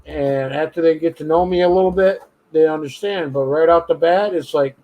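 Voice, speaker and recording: A man's voice: fairly high pitch, normal speed, lo-fi sound. A man from New York hosting a live stream in casual chat, blunt and jokey with profanity.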